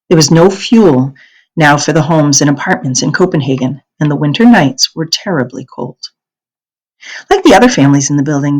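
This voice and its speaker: A woman reading a children's novel aloud as a storyteller, in an expressive, deliberate manner. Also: deep voice, poor audio. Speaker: read-aloud narrator.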